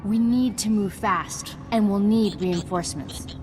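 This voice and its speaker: A woman playing a composed, resolute Jedi master in a video game, speaking in a measured, earnest and authoritative way. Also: medium pitch, lightly acted. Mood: focused. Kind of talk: scripted video game acting. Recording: game music behind.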